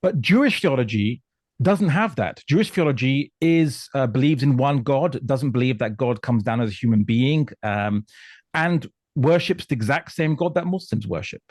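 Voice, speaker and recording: Male discussion guest from Portugal; emphatic, halting explainer, mid-pitched, close dry sound. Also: lively intonation, darkish tone, no echo. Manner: emphatic, halting, often repeating words. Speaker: guest commentator on religion and politics.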